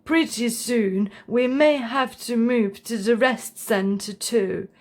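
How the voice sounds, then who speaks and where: Woman doing a slow old grandpa giraffe. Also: fairly high pitch. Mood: weary, kindly. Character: elderly grandpa giraffe, slow, proud, kindly.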